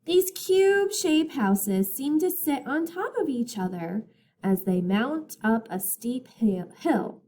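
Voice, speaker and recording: A woman reading a picture book aloud as a teacher to children, in a deliberate, expressive storytelling manner. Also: high-pitched, slight background noise.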